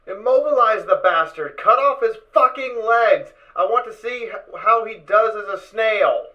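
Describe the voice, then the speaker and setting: A performer voicing a savage, crazed raider pony in an audio drama, shouting threats in a foul-mouthed frenzy. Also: mid-range voice, expressive, measured pace, lo-fi sound, lightly acted. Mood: manic rage. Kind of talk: fan audio drama acting.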